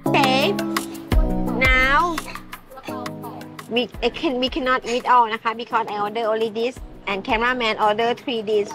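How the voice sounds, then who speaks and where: A woman's voice: a little high, fairly even intonation, moderate pace, long pauses, over music. A Thai woman hosting a food vlog in simple, broken English with Thai words mixed in, giggling often, at an outdoor restaurant table at night, recorded by a camera across the table. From Thailand. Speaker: vlog host. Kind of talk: casual food vlog chat.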